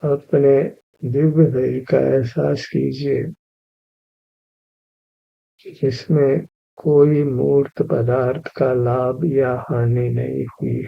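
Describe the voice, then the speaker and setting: A man guides a meditation at a very slow, unhurried pace, his voice heavy with gravelly fry. The tone is husky rather than clear. The recording sounds dull and lo-fi. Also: medium pitch. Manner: slow, even, instructive, repeats key phrases. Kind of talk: guided meditation with spiritual teaching.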